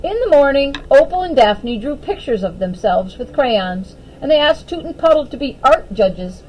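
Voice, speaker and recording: High-pitched, very animated woman reading a storybook. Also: normal speed, slight background noise, low-quality recording. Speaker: storyteller reading aloud to children. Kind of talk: expressive picture-book narration.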